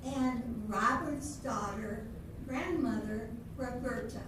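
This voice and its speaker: An older woman narrating a readers theatre show in a measured way, recorded in a roomy hall from far off. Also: fairly high pitch, background noise. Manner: measured, introducing the characters. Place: senior center hall.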